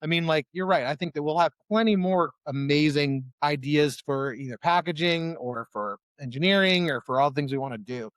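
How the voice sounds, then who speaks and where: Male musician from Ohio, slightly high, dry close sound, casual rambling storyteller. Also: moderate pace, hardly any pauses, dry recording. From Ohio, USA. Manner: rambling, many restarts and fillers, animated when quoting himself. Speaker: musician guest. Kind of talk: casual conversation.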